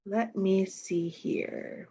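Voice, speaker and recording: A woman reads picture books to little children at an unhurried pace. She speaks straight to her young listeners with simple questions. The audio is dull and slightly muffled. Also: medium pitch, steady tone, dark tone, husky. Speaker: storytime reader.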